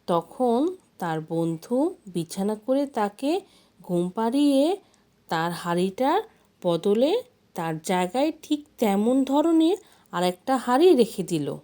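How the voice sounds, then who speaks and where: A woman's voice: a little high, big pitch swings, takes it slowly, warm tone. A woman storyteller narrating a folk tale expressively, as if reading from a storybook.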